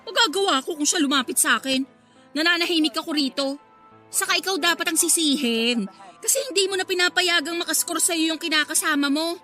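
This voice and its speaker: A woman's voice: very high voice, a little fast, pushed and bright. A woman playing a vain, spiteful mistress in a Filipino radio drama, sassy, insolent and goading. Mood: taunting, spiteful. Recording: faint music bed behind the voice.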